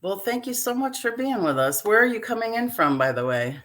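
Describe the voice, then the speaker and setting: A woman's voice: fairly high pitch, very animated, brisk, fairly bright tone. A woman hosts a healing live stream from a home video call, talking in a chatty way with many fillers. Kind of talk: casual live-stream talk.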